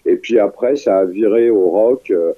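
A man's voice: fairly low pitch, moderate pace. An older man from France, a singer-songwriter, talking in a phone interview with many fillers and restarts. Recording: muffled phone line.

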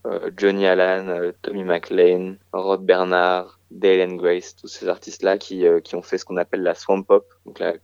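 A man's voice: deep voice, completely flat delivery, normal speed, vocal fry, hardly any pauses, a little mellow, clear, resonant tone, no echo. A man in his thirties, a musician answering radio interview questions in a hesitant way, full of fillers and restarts. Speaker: musician guest. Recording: slightly dull radio recording.

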